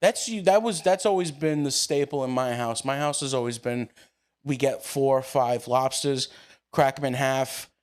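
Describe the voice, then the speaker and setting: A man's voice: medium pitch, moderate pace. An Italian-American man co-hosting a casual chat, argumentative, swearing casually and laughing often. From United States. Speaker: podcast co-host.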